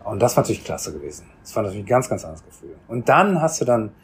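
A man from Germany, a podcast guest, telling his story in a relaxed, reflective way with many restarts and repeated words. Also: on the low side, racing, slight background noise. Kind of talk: casual conversational storytelling.

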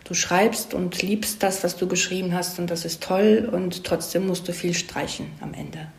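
A woman's voice: lowish voice, fairly even intonation, normal speed, gravelly. A woman screenwriter giving an interview, explaining her craft in a casual chat and often checking in with short tag questions. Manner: explains with frequent check-in tags. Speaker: screenwriter being interviewed about storytelling craft. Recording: roomy echo around the voice.

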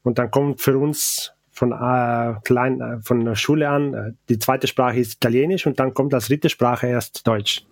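A middle-aged man from South Tyrol with a Ladin accent talks haltingly in German, often pausing to find his words. His voice sits at an ordinary middle pitch. The recording is dry and close, with no room sound. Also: steady tone, no room sound. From South Tyrol, Gardena valley. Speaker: brewer and chef, guest. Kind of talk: casual interview chat.

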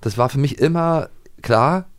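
A man's voice: medium pitch, unhurried. A middle-aged man chatting casually as a podcast co-host, thinking aloud and repeating his phrases. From eastern Germany. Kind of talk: casual chat.

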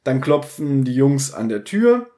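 A man's voice: mid-range voice, sing-song, measured pace, mellow. A man hosting a podcast, casually retelling and discussing a story, slipping into quoted dialogue. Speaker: podcast host.

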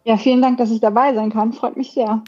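A woman's voice: on the high side, steady tone, fairly quick, mellow, slightly rough, faint music behind. A woman in her thirties from Germany, answering interview questions conversationally as a startup founder and podcast guest. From Berlin, Germany. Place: hotel room, remote call. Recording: dull, lo-fi remote connection.

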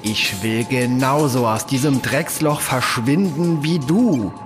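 A frazzled, sarcastic man on the run acted out in a science-fiction audio drama. He mutters and curses at a slow pace, with loud music behind him. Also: medium pitch, lightly acted. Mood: urgent, wry. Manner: exasperated, sarcastic, muttering to himself. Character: harried, cursing male fugitive who peppers in French. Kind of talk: sci-fi audio drama acting.

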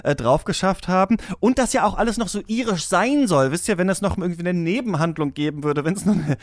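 A man's voice: high-pitched, animated, fairly quick, no room sound, clean studio sound. A man co-hosting a casual film podcast, rambling in a chatty, amused way. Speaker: podcast co-host.

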